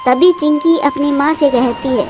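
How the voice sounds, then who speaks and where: A woman's voice: high-pitched, normal speed, music in the background. A woman narrating a scripted children's moral story as a storyteller.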